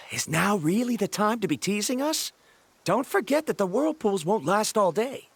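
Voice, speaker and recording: An actor playing a proud, stubborn scholar in a video game, speaking formally and argumentatively with a touch of bitterness. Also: male voice, high voice, very animated, moderate pace, a bit bright, husky, heavily acted. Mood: stiff, irritated. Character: proud, stubborn, embittered adult male scholar. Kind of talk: video game character dialogue.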